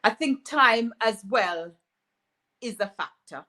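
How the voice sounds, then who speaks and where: A woman from Jamaica, a doctor appearing as a guest, speaking in a measured, reflective way with light laughs. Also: a little high, expressive, pushed and bright. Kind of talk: casual interview talk.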